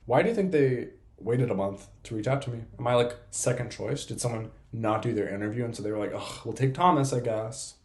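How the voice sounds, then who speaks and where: A young man chatting casually to camera as a vlogger, playful and self-deprecating in an excitable way. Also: mid-range voice, a little fast, roomy sound. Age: young adult. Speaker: vlogger and college program applicant.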